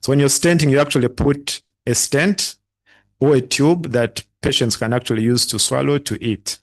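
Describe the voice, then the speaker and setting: Doctor guy on a video call, explains stuff, lots of ums. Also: mid-range voice. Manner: explanatory, halting fillers. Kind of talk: briefing on an event.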